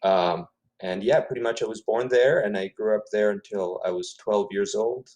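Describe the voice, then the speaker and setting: Middle-aged male author from Ecuador, native Spanish, lowish voice, musing and self-correcting in conversation. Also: normal speed, darkish tone, rough recording. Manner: thoughtful, self-correcting, repeats words. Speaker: author guest. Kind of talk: casual interview.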